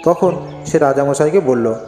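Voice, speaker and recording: A man's voice: a little high, moderate pace, over music. A man narrating a scripted cartoon folk story, in an echoey recording with long reverb.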